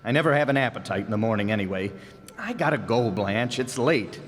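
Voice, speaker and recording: A man's voice: medium pitch, lively intonation, a little fast, close to an everyday voice. A man playing a grumbling henpecked salesman husband. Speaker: amateur radio actor. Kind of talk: old-time radio comedy acting. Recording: live stage hall reverb.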